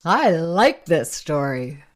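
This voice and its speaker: A woman's voice: low-pitched, very animated, slow, full character voice. An actress playing a playful, exclamatory female companion in a radio play.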